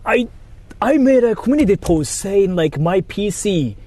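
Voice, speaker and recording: A man's voice: high-pitched, animated, unhurried, dark tone. A man livestreaming, chatting casually with viewers and reading donations in a rambling, joking way full of fillers. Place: in Japan.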